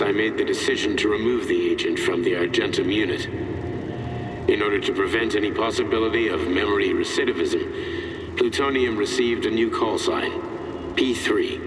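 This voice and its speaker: An actor playing a grave scientist who dictates clinical experiment records in a formal, measured way, heard as an echoey, noisy recording. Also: male voice, fairly low pitch, natural voice. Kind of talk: scripted clinical log narration. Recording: echoey distant playback with loud noise.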